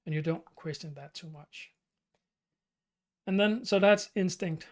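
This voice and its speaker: A man from Denmark with a Danish accent hosts his channel's live stream Q&A. He talks in a halting way, with many fillers and restarts. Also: high-pitched. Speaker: channel host.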